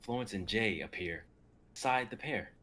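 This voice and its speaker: A man's voice: lowish voice, moderate pace, bright, forceful tone. A man in his twenties narrating a drama script, sometimes stumbling over the text, on home video-call audio. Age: 20s. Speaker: narrator reading stage directions.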